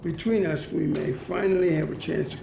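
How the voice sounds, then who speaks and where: An actor playing a troubled older scientist and father in a video game, halting and confiding, gentle but bitter. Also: on the high side, steady tone, creaky, background noise. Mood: bitter, urgent. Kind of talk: dramatic video game acting. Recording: echoey, distant, under loud game music.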